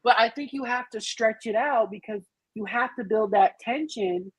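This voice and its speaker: A woman's voice: fairly high pitch, normal speed, breathy edge, no echo, poor audio. A woman in a casual group podcast discussion, speaking in an emphatic, playful, slangy way as a panelist. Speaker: podcast panelist reviewing a film musical.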